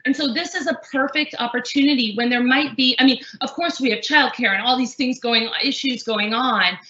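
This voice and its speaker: A woman's voice: high-pitched, a little fast, sharp tone, echoey room, muffled recording. A woman who works as a language trainer, speaking earnestly in a webinar panel discussion, with many fillers and restarts.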